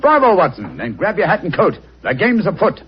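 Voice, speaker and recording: An actor playing an eager, self-assured English gentleman detective, precise and theatrical, in a 1940s radio drama. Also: sits high, wildly swooping pitch, fairly quick, music in the background. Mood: brisk, eager. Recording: muffled, hissy vintage radio recording.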